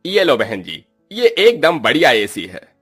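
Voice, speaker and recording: A man's voice: mid-range voice, huge pitch swings, measured pace, bright, forceful tone, husky, faint music behind, heavily acted. A man playing a sly junk dealer in a cartoon story, with a swindler's wheedling sales patter.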